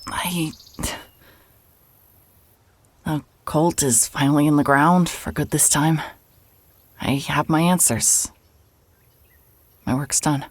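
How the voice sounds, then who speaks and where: A woman's voice: low-pitched, steady tone, slow, long pauses, husky, heavily acted. An actress playing a weary, wry, guarded woman investigator in an audio drama, speaking in a hesitant, dry way. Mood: weary, resigned.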